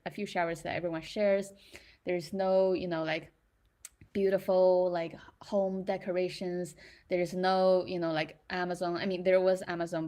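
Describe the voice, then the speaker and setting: A woman's voice: on the low side, darkish tone. A young woman from China, talking reflectively and casually, with many fillers and restarts as she thinks aloud. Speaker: creator in residency.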